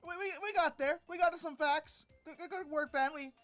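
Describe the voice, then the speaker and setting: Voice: high voice, fairly quick, a bit bright, faint music behind. A video host giving informal, exasperated and sarcastic commentary on an article, stressing words emphatically.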